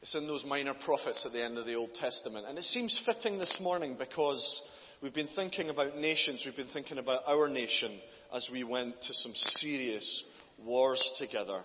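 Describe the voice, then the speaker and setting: Male guest preacher, loud and earnest, muffled sound in an echoing hall. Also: medium pitch, moderate pace. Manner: loud, earnest, builds in force when reading. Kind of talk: church talk and scripture reading. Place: church hall. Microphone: handheld mic. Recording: dull distant hall recording.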